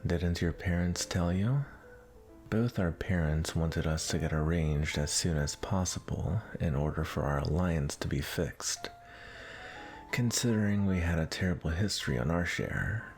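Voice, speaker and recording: A man playing a smooth, possessive prince who flirts and coaxes in a romantic audio roleplay. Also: deep voice, measured pace, faint music behind. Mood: soothing, suave. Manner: suave, flirtatious, coaxing. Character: smooth, possessive suitor prince courting his arranged bride.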